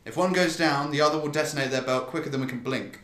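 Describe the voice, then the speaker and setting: An actor playing a grim security official in a radio drama, speaking gravely and with emphasis. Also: male voice, a little high, fast talker, fairly bright tone, close to an everyday voice. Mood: tense, grave. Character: grim male security official watching a stealth operation. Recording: echoey room.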